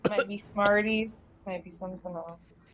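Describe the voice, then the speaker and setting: Slow-talking woman at the game table. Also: mid-range voice, fairly even intonation, fairly bright tone, a little hiss or hum. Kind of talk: describing own character's actions.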